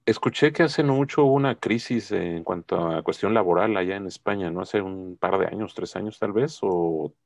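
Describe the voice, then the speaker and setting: Deep gravelly middle-aged man, interviewing casually. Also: normal speed, few pauses, a little mellow, dry recording. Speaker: interviewer, host. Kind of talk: casual video-call interview. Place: indoors, on a video call. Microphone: wired earphone headset.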